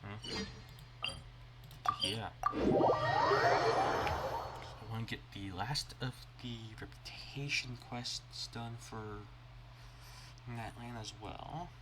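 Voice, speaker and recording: A man livestreaming a game, thinking aloud in a casual, terse, low-key mutter. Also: drawn-out words, pauses often, heavy background noise. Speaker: game streamer. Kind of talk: casual live gameplay commentary, thinking aloud. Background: game music.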